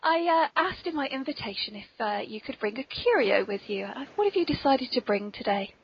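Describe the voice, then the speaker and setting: An English woman with a highish voice hosts in a playful, courteous way. Her delivery is whimsical and chatty. The sound is dull and lo-fi, like an amateur podcast. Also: animated, a little fast, breathy edge, no room sound. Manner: playful, polite. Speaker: podcast host. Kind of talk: whimsical hosting and chat.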